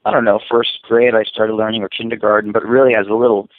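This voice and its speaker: A man's voice: lowish voice, level tone, fast, no echo. A man whose first language is Greek, a musician guest answering interview questions in a reflective, searching way. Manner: reflective, loose and searching, with restarts. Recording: tinny phone-line sound, dry.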